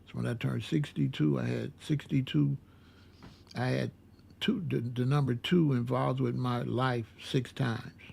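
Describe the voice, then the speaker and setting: Older guy in his 60s telling a story, super gravelly, rambles and repeats himself. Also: medium pitch, steady tone, unhurried, a little mellow. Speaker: vlogger. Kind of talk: casual storytelling monologue.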